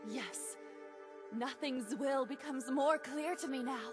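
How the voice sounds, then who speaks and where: Video game heretic cultist: high voice, zealous, solemn, dramatic pauses, music behind. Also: female voice. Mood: solemn resolve. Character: fervent exiled female heretic cultist preaching nothingness.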